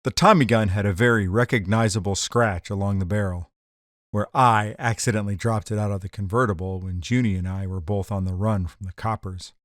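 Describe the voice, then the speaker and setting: A man's voice: on the low side, steady tone, fairly quick, a bit bright, dry recording, natural voice. Hard-boiled gangster tough guy, dry close recording man acting. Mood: wry narration. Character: tough, wisecracking 1940s gangster gunman on the run. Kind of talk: noir detective radio drama acting and narration.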